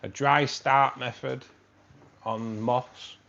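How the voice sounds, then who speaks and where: A man's voice: medium pitch, steady tone, slow pace, creaky, a bit bright, lo-fi sound. A man hosting a live stream from a room with fish tanks, chatting casually and rambling, often repeating himself.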